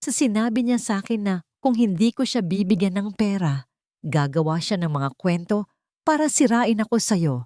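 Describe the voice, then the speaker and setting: A woman's voice: mid-range voice, animated, moderate pace, dark tone, no echo, studio quality, lightly acted. A woman voicing a scheming, tearful fiancée in an audio love drama, melodramatic and accusing. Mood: accusing, pleading.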